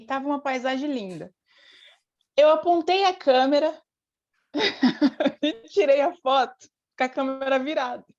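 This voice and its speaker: A Brazilian woman chatting casually as an interview guest, telling stories in an informal, animated way. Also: high-pitched, dull audio. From Brazil, born in Rio de Janeiro state, raised in Paraná from age eight. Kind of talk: casual chat, storytelling.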